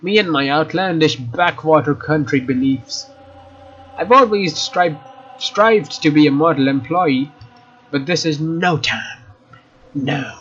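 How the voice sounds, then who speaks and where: Higher-voiced man reading aloud, lo-fi mic. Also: takes it slowly, fairly bright tone, breathy edge. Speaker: let's player reading a game aloud. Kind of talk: casual reading of visual novel narration with asides. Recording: dull lo-fi mic, faint noise.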